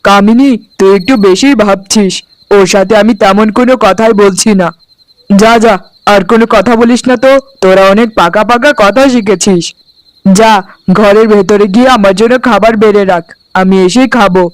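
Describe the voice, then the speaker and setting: A man's voice: sits high, steady tone, moderate pace, dry recording, close to an everyday voice, low-quality recording. A man voicing a young newlywed husband and elder brother in a cartoon, placating in manner. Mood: annoyed. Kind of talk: Bengali cartoon dialogue acting.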